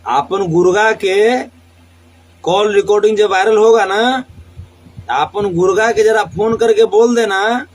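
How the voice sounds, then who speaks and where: High-pitched, combative man with Bhojpuri accent. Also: unhurried, low-quality recording. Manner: combative, defiant, swearing, repeats phrases for emphasis. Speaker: singer. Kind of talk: angry live social media rant.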